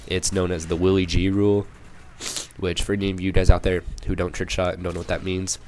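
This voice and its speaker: A young man with a cold, hoarse and a little raspy, rambling through casual gameplay commentary with many fillers and restarts. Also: sits low, little pitch movement, brisk, background noise. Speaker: gaming channel commentator.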